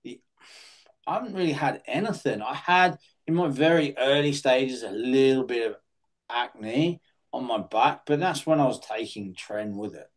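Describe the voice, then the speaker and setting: A man, hosting a casual podcast chat and talking bluntly, with sudden lively jumps in pitch. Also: measured pace. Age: late 40s. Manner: blunt and swearing, animated rises in pitch. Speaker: podcast host.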